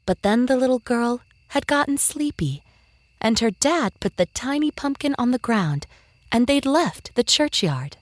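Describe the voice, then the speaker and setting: Female storyteller for preschool fiction: unhurried, animated intonation, gentle narration over background music. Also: fairly high pitch, dry recording. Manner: expressive, gentle, measured storytelling for young children. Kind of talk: scripted children's story narration.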